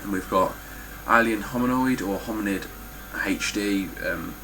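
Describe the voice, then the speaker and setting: A man's voice: fairly low pitch, a little slow, background noise. A man with a stuffy, nasal voice giving a casual, blunt spoken review of his game collection, with frequent ums and some swearing.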